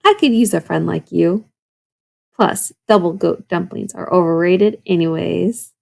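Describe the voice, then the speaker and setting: Casting: sly, grumpy old male wolf for a children's storybook, gravelly and wheedling played by a woman. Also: fairly low pitch, a little slow. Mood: content, friendly. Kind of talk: children's storybook read-aloud.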